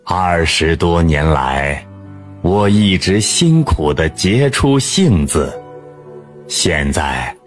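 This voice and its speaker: Man doing old storybook apricot tree: low, very slow, heavy and lamenting. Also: sing-song, heavily acted. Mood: weary. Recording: faint background music.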